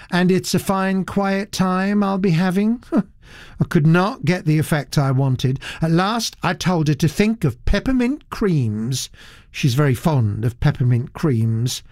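A man's voice: sits high, darkish tone, dry recording, studio quality, voice pushed up. A man voicing a languid, melancholy male theatre producer who drawls his gossip.

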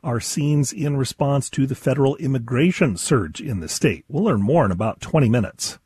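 A man radio announcer reading news teasers and the weather forecast in a measured delivery. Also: mid-range voice, expressive, darkish tone, husky, no echo, clean studio sound. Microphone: studio mic.

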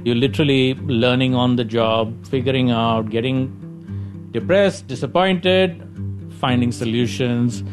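A man with an Indian accent answers interview questions as a company executive. His medium-pitched voice moves haltingly through informal explanations, doubling back on words, with faint music behind it.

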